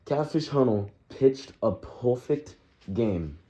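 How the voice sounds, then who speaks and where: A man with a raspy, sick-sounding voice narrating baseball history casually, loose and rambling, correcting himself as he goes. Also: medium pitch, lively intonation, takes it slowly, heavy background noise. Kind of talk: casual narration over game footage.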